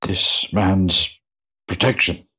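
A man playing an earnest, pious clergyman in a period drama, with a grave, imploring manner and a husky voice. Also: low-pitched, steady tone, drawn-out words, pushed and bright, lo-fi sound, heavily acted. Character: earnest, pious, solemn clergyman pleading for a soul. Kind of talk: period audio drama acting.

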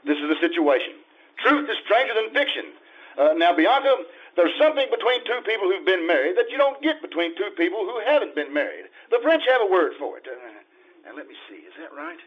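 A muffled live stage recording with some hiss carries a man acting a flustered husband from a screwball radio comedy. His voice sits high and swoops about as he stammers and dithers in exasperation. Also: big pitch swings, brisk. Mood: nervous rehearsing. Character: flustered, stammering newlywed husband turned accidental bigamist.

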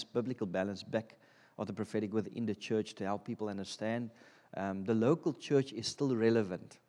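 A South African man in his thirties, Afrikaans his first language, preaching to a church congregation. His voice sits a little low and he talks in a halting, conversational way, full of ums and restarts. The sound carries a long reverb from the church hall. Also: darkish tone. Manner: hesitant, lots of ums and restarts. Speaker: guest preacher. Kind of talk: informal sermon. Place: church sanctuary.

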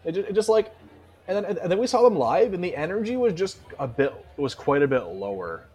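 A man co-hosting a podcast in a casual chat about music, animated and swearing freely. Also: high voice, brisk, husky. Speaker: podcast co-host.

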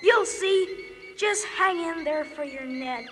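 Very high-pitched, plucky little boy. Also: animated, slow pace, full character voice. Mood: tender, rallying. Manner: earnest, determined, old-fashioned. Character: plucky ten-year-old boy, really an old man ageing backward. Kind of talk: comic science fiction radio acting. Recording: long hall reverb, music underneath.

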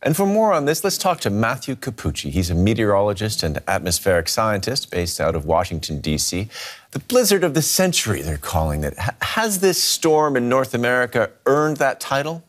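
Male news anchor with lively intonation. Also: mid-range voice, brisk. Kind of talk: scripted news presenting.

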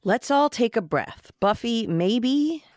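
A woman's voice: fairly high pitch, lively intonation, slow, darkish tone, dry recording, clean studio sound. A woman playing a loyal, wry young man in a podcast script read, speaking casually. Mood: placating. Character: young man, loyal peacemaker friend, wry, feeling overlooked.

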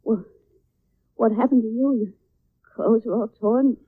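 Frightened radio-drama lady: high, very expressive, fretful and breathless, thin vintage sound. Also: a little slow, breathy edge. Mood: shaken. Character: anxious, frightened young lady, sweetheart companion to detective. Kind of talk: 1940s radio drama acting. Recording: narrow, muffled old radio recording.

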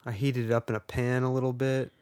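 A man's voice: medium pitch, little pitch movement, fast, a little mellow, smooth. A man co-hosting a podcast in casual chat, rambling and wry, poking fun at himself. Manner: wry, rambling and self-deprecating. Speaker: podcast co-host.

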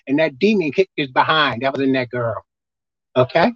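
A man with a squeaky voice preaching a sermon as pastor, emphatic and forceful. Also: animated, moderate pace, dry recording, highs cut off. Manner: emphatic, pitch leaping up and down.

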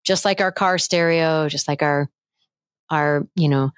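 A middle-aged woman voice coach chatting as a guest on a remote interview, animated and playful, demonstrating voice techniques. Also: unhurried, dry recording, studio quality. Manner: animated and playful, demonstrates pitch changes with her own voice. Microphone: headphones with mic, remote call.

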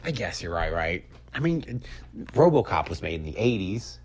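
An American man in his thirties hosting a casual comedy podcast, swearing freely and telling stories in an animated, self-deprecating way. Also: sits low, moderate pace, husky. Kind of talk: casual, profane chat about movies and games.

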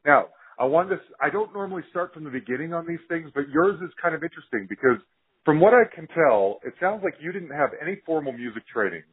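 A man's voice: a little high, animated, quick pace, breathy edge. American male podcast host, enthusiastic and conversational, phone-like narrow sound. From Utah, United States.

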